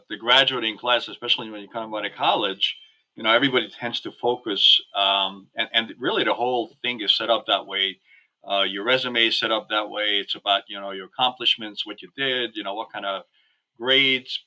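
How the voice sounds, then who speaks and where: Hungarian-born male guest, lowish and very bright voice, easygoing, filler-heavy talk. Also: rough recording. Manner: chatty, many fillers. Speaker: podcast guest. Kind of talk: casual interview talk.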